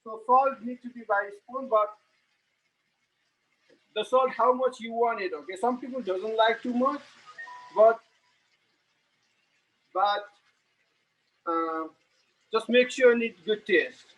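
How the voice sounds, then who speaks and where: A man from Nepal with a Nepali accent, giving a live cooking demonstration and narrating each step in halting, plain English. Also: very high voice, lo-fi sound. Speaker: home cook giving a cooking demo.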